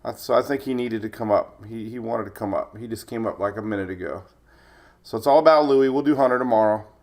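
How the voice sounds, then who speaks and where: A man's voice: lowish voice, quick pace, darkish tone. A man hosting a casual live weather stream, chatty, rambling and jokey, sounding slightly stuffed up with a cold as he greets viewers.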